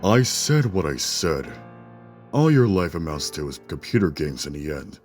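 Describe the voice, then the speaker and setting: A man's voice: very deep voice, big pitch swings, moderate pace, darkish tone, soft background music. A man playing a haughty, short-tempered young swordsman in an audio drama, throwing formal, contemptuous insults.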